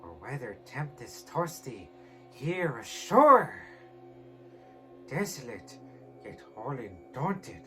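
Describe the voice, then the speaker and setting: A man's voice: mid-range voice, fairly bright tone. A man putting on a character voice as a tormented, desperate narrator, reciting a gothic horror poem with theatrical intensity. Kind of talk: dramatic poetry recitation in impersonated character voice.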